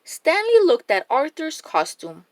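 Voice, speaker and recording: Female children's storyteller, unhurried and very animated, dry recording. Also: high-pitched, no echo. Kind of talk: expressive read-aloud storytelling for young children.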